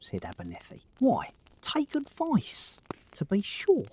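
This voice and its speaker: A man's voice: sits high, huge pitch swings, measured pace, darkish tone, slightly rough, in a whisper, full character voice. An actor playing a droll, teasing male detective in a theatrical audio drama, drawling his words. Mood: drolly storytelling.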